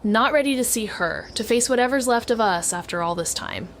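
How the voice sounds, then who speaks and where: A woman's voice: fairly high pitch, lively intonation, a little fast, a bit bright, slight background noise. An actress playing a thoughtful, haunted young woman, narrating a noir audio drama in the first person in a hesitant, introspective way. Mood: tense, focused. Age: young adult. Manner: hesitant, introspective, self-interrupting. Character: Mags, thoughtful haunted young woman, former teenage detective.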